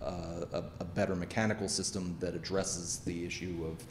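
A middle-aged man speaks into a podium microphone in a town hall meeting room, his voice very deep and level. He explains plans in a measured way, pausing with fillers, over a faint room hum. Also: level tone. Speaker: architect presenting library project. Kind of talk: public meeting presentation.

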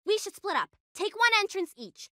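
An actor playing a determined young child superhero in an animated video game, earnest and emphatic. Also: extremely high pitch, normal speed, no echo, studio quality, full character voice.

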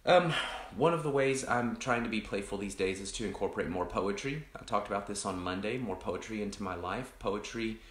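Man pastor, low and echoey. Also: a little fast, few pauses. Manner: rambling, many restarts and fillers, greets viewers by name. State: deeper voice from allergies. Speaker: pastor hosting an online coffee chat. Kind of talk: casual, reflective chat to online viewers.

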